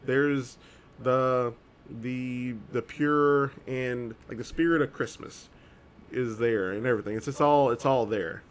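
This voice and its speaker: A man co-hosting a live-streamed chat show, talking casually and jokily, rambling with loud excited bursts. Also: mid-range voice, a little slow, a little hiss or hum. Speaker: co-host. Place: home streaming setup.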